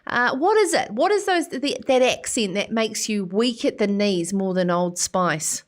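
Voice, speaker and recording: A woman's voice: mid-range voice, animated, measured pace. Bubbly New woman hosting a show. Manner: bubbly, teasing, frequent laughter. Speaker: host. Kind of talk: chatty live show hosting.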